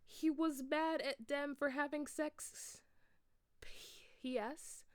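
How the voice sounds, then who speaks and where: A woman's voice: fairly high pitch, no room sound, studio quality, voice pushed up. Woman putting on a petulant, whiny teenage girl author. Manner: petulant, emphatic, drawn-out words.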